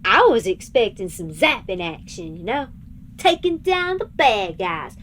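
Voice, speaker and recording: A woman playing a folksy, soft-hearted, playful space pilot with a colloquial drawl in an audio drama. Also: mid-range voice, very animated, a little slow, a little hiss or hum. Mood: doubtful, playful. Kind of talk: science fiction audio drama acting.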